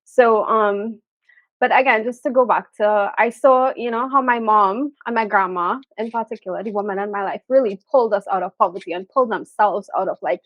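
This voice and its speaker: A woman from Trinidad with a Trinidadian accent, asking a business question in a candid, halting way with many fillers. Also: medium pitch, no echo, highs cut off. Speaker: guest asking for business advice. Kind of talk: conversational interview question.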